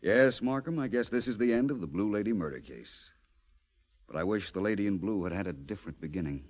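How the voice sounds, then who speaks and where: An actor playing a suave, commanding private detective in a polished 1940s radio drama style. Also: male voice, on the low side, lively intonation, a little fast, darkish tone. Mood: relaxed, wry. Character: suave, self-assured male private detective, wryly theatrical.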